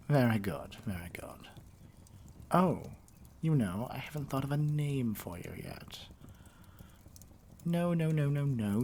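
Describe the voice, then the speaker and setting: A man's voice: mid-range voice, a little mellow, a little hiss or hum. A man playing a vain, scheming evil sorcerer king in an ASMR roleplay, with a pompous, condescending drawl. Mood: silky, possessive, then amused, musing.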